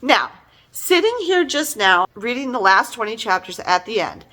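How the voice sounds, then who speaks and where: A middle-aged woman giving a casual, conversational book review in an animated, exasperated and emphatic manner. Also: on the high side, moderate pace, a little hiss or hum, rough recording.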